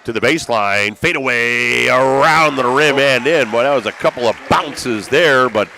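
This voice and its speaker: A man's voice: medium pitch, normal speed, pushed and bright. American man calling basketball over gym noise. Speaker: play-by-play sports announcer. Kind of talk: live basketball radio commentary. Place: courtside in a packed school gymnasium. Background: gym ambience, bouncing basketballs.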